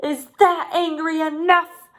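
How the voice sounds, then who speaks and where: A woman's voice: very high-pitched, flat delivery, slow pace, a bit bright, voice pushed up, exaggerated voice. A woman voicing a gullible, vain and irritable male fox in a children's storybook. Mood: gullible, curious.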